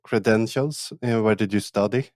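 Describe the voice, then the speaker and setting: A man's voice: fairly low pitch, moderate pace, a little mellow, no room sound, clean studio sound. A man hosting a podcast, introducing the show and his guest in an easygoing, lightly joking way. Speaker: podcast host. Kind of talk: casual podcast interview.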